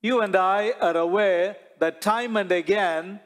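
A middle-aged man preaching a homily as a priest, slow and measured, building his points with repeated rhetorical questions. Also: high voice. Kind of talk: sermon. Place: large church sanctuary before the altar. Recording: long church reverb.

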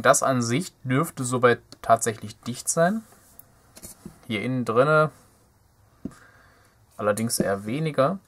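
A man's voice: medium pitch, fairly bright tone. A young man giving casual hands-on commentary as he works at an indoor bench, thinking aloud and muttering playfully to himself. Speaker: DIY electronics video host. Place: indoor workbench.

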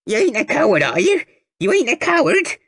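A man voicing a toothless old woman with a croaking voice, shrill and scornful as she goads a young man. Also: huge pitch swings, brisk, a bit bright, no room sound, clean studio sound, exaggerated voice. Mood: jeering.

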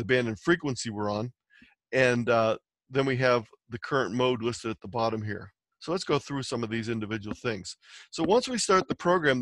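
A man's voice: medium pitch, fairly bright tone, dry recording. A man giving an instructional slide presentation, explaining things step by step as a teacher would. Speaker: presenter teaching amateur radio software.

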